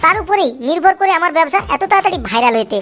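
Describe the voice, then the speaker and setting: Cartoon young male street vendor, very high lisping voice, quick, boastful, foul-mouthed, loud music behind. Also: animated. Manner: ranting, scolding. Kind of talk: comic Bengali cartoon dialogue.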